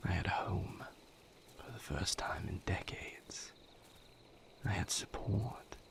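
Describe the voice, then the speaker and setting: A man voices a weary male vampire in an intimate audio roleplay, speaking low and half-whispered. His delivery is slow and solemn, with halting phrases and a gravelly creak, like a lover confiding old pain. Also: exaggerated voice. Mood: somber, reflective.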